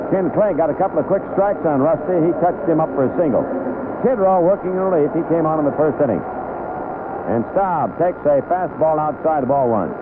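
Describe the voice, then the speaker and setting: A man's voice: on the high side, heavy background noise. Phone-like old radio baseball announcer, male. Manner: conversational, folksy asides between calls. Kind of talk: live radio sports commentary. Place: ballpark broadcast booth.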